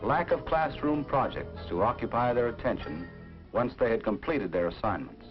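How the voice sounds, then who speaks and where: A man's voice: medium pitch, expressive, soft background music. Dramatic American male narrator, widely swooping intonation. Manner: dramatic, measured short phrases. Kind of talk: 1950s educational film narration, scripted. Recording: dull vintage film soundtrack.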